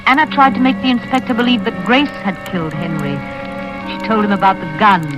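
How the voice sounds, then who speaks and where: An actress in a vintage radio drama playing a prim, unflappable, fussy spinster with a genteel, matter-of-fact way of speaking. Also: female voice, mid-range voice, a little fast, natural voice, poor audio. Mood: confiding. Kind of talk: 1940s-50s radio drama acting, first-person narration. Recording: vintage radio recording with music.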